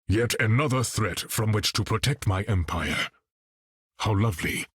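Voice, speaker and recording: A man's voice: fairly low pitch, moderate pace, fairly bright tone, husky, no echo, clean studio sound. A man playing a proud, dignified warrior king in a video game, with an African accent and formal, regal phrasing. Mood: proud, solemn. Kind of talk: video game character voice acting.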